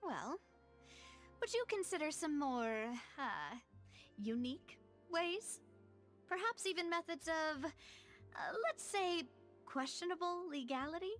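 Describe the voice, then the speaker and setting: Young lady boss for an anime game: high, animated, eloquent, confidently theatrical, faint music. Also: takes it slowly, full character voice. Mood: playful, scheming. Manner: articulate, eloquent, dramatic. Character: confident young female gang boss, poised, theatrical, playful. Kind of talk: anime video game voice acting.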